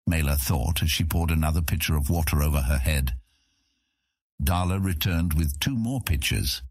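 A man's voice: sits low, husky, dry recording, clean studio sound. A man reading scripted fantasy narration as an audiobook narrator.

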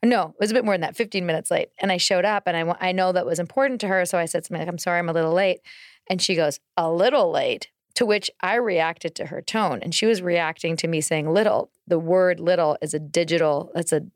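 A woman's voice: fairly low pitch, fast, no room sound, studio quality. A woman co-hosting a casual podcast conversation, explaining things through personal anecdotes. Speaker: podcast co-host.

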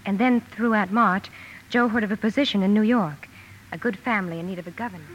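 An actress playing a gentle married sister, narrating a family story in measured, reflective fashion for a 1950s radio drama. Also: female voice, mid-range voice, slight background noise, lightly acted. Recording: dull old radio broadcast, music behind.

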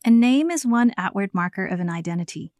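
A woman's voice: mid-range voice, expressive, fairly quick, a little mellow, dry recording. American woman hosting a podcast, dry studio sound. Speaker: podcast host and narrator. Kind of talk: scripted narration mixed with conversational interviewing.

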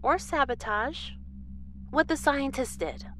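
A woman's voice: high-pitched, takes it slowly, fairly bright tone, slight background noise. A woman playing a chatty, agreeable moonbase crew member in a sci-fi audio drama.

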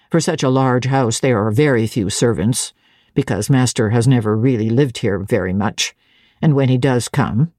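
A woman narrator plays a middle-aged servant woman from a classic storybook. Her voice sits very low and dark, with a husky edge. She is stolid and demure, measuring out each phrase with unruffled, secretive composure. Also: no echo, studio quality. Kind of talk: dramatic audiobook reading.

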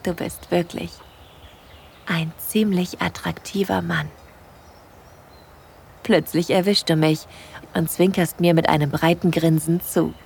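A woman playing a smitten hiker who narrates her date intimately in a breathy, playful way for an erotic audio drama. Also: fairly low pitch, fairly even intonation, slow pace, long pauses, a little hiss or hum. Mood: tender, intimate.

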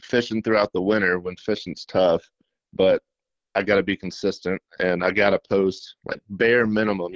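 Shy low-voiced man, halting and flat. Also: no room sound, dull audio. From middle Tennessee. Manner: shy, self-described monotone, halting with fillers. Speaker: fishing YouTuber guest. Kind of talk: casual interview.

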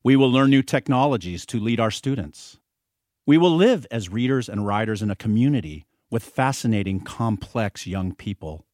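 Man narrating with lively intonation. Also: mid-range voice, moderate pace, no room sound, clean studio sound. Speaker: teacher and author reading own audiobook. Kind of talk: scripted audiobook narration.

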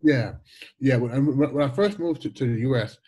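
A man in his forties with a Jamaican accent and a slight lisp, telling stories as an interview guest in an animated way, often repeating and restarting words. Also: medium pitch, moderate pace, husky, highs cut off. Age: 40s. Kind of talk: conversational storytelling interview.

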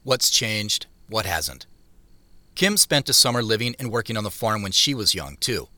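A man acting as a reflective first-person narrator in an audio drama, telling the story in a measured way. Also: on the low side, very animated, bright, forceful tone, no echo, exaggerated voice. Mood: matter-of-fact.

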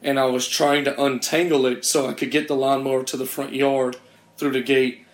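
A hoarse man talks loosely and profanely, rambling through a story and laughing off his own misfortunes. His pitch sits in the middle range. The room around him is echoey and roomy. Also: level tone, normal speed. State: hoarse from a lost voice. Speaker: podcast host. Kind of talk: casual profane chat.

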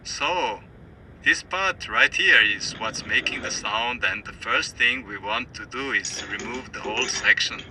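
An actor playing a careful, methodical restorer giving a tutorial in an audio drama, heard as video playback. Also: male voice, medium pitch, measured pace, pushed and bright, low-quality recording. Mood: methodical, instructive. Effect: played back from a video. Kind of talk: instructional demonstration in an audio drama. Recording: online video playback, background noise.

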